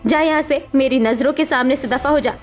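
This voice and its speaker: A woman's voice: high voice, a little fast, close to an everyday voice. A woman voicing a harsh, contemptuous middle-aged mother in a dramatic Hindi cartoon story. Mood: cold, scornful. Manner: scolding, contemptuous. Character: harsh, scornful middle-aged mother berating her daughter. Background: music.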